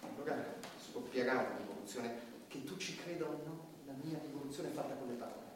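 An actor playing a defiant, impassioned satirical comedian on trial, emphatic and repetitive in a theatrical stage style. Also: male voice, medium pitch, quick pace, lightly acted. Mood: bitter, resolute. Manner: emphatic, repetitive, preaching against hypocrisy and power. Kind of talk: theatrical stage acting, fiery monologue. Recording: echoey distant stage recording, faint noise.

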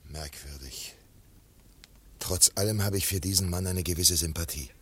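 A man's voice: sits low, normal speed, husky, no room sound. A man acting in a German radio play as a musing pursuer with reluctant sympathy for his quarry, in an understated manner. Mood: musing, subdued.

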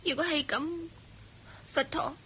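A woman's voice: sits high, steady tone, takes it slowly, pushed and bright, heavily acted. A woman with a Hong Kong Cantonese accent playing an anxious young woman who pleads earnestly for help in a radio drama. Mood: pleading.

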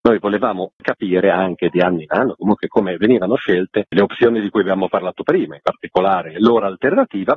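A man's voice: on the low side, breathy edge. A man explaining things in long, detailed sentences as an interviewed association spokesman, speaking over a remote call. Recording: muffled phone-like line.

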